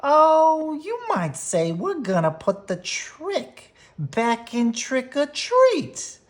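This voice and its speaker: Woman doing a very high, cocky boy bully bear. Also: huge pitch swings, very slow, full character voice. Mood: cocky, scheming. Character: cocky mischievous young boy bear, gang leader bully. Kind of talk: children's storybook character voice.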